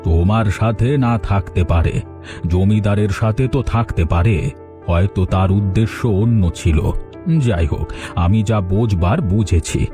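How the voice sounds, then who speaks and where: Cartoon holy hermit, male actor: low-pitched, calm, self-assured, protective, over prominent music. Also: moderate pace, close to an everyday voice. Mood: reassuring, resolute. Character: kindly, self-assured holy hermit who shelters the helpless. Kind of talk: Bengali cartoon voice acting. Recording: music playing under the voice.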